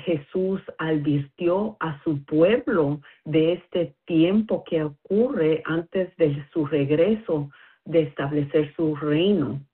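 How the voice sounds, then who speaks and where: A woman's voice: low-pitched, husky. A woman calling in to share a bible study, speaking as a non-native speaker, hesitant and careful with many fillers. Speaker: caller. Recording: tinny phone line.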